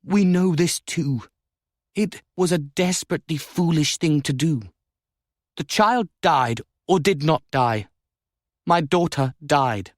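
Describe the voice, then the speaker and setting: A cold, commanding countess of high rank, put on by a male reader, her voice lifted well above his own and each word set down hard. Her tone is brittle and forceful, and the recording sits close and dry with no room around it. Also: slow, dry recording. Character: imperious commanding noblewoman, a countess.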